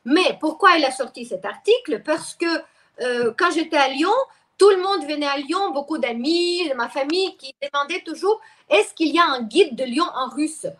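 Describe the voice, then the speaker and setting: A middle-aged woman from Ukraine speaks, her being Russian. She talks at length as an interview guest, restarting her sentences often and filling pauses. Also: high voice, expressive, a bit bright. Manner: talkative, many restarts and fillers. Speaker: guest expert. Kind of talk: interview conversation.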